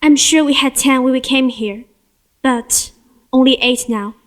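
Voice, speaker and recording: A woman's voice: sits high, unhurried. A young female student playing an earnest, distressed governess in an amateur stage drama. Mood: uneasy. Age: teenager. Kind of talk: amateur stage play acting.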